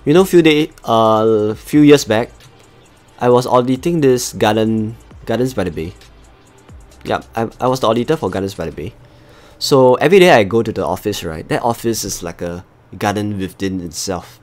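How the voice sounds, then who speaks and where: A man's voice: on the low side, moderate pace, soft background music. A man from Singapore with a Singaporean accent, chatting casually to viewers as he hosts a live game stream. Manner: chatty, talks to viewers as he plays. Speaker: livestream host.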